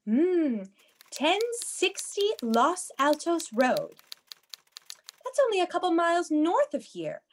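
A woman's voice: very high-pitched, big pitch swings, takes it slowly, a little hiss or hum, heavily acted. A woman playing a prim, fussy babysitting agency dispatcher who reassures people, in a comedic radio play. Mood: brisk, businesslike.

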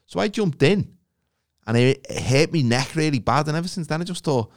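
A man's voice: on the high side, animated, brisk, dry recording, studio quality. A man with a Liverpool accent, co-hosting a podcast, telling rambling, jokey stories in casual chat. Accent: Liverpool English. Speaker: podcast co-host.

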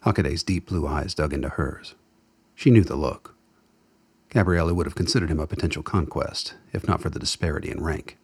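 A man narrating a radio drama as a wry storyteller, with a husky voice and scripted, measured delivery. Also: extremely low pitch, no echo, exaggerated voice. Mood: measured, sly.

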